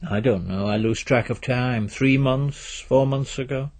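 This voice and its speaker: A man's voice: mid-range voice, measured pace, darkish tone, natural voice. A man voicing a laid-back, slangy young man in a story reading, with casual, offhand delivery. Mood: vague. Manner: casual, slangy, hesitant fillers.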